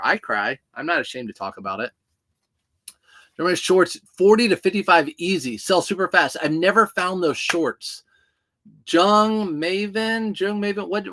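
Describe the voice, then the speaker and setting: A man's voice: fairly high pitch, fairly bright tone. Chatty thirties man hosting a stream. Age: 30s. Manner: chatty, joking, reads out viewer comments. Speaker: reseller hosting a livestream. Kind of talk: casual livestream chat while packing orders.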